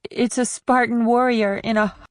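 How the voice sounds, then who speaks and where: A woman's voice: a little high, steady tone, moderate pace, dry recording, natural voice. A woman voicing a blunt, inquisitive fourteen-year-old girl in short, probing audiobook dialogue. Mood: shaken.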